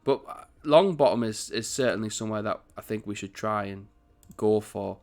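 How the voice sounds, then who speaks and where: A man hosting a strategy game commentary, chatty and rambling, with a croaky morning voice. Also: on the low side, moderate pace, darkish tone. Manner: chatty, rambling, self-correcting. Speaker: gaming channel host.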